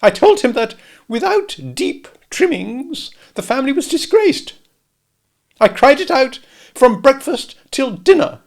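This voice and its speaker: A man voicing a fussy, self-pitying older lady relative in a storybook reading, shrill and indignant. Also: very animated, unhurried, vocal fry, exaggerated voice.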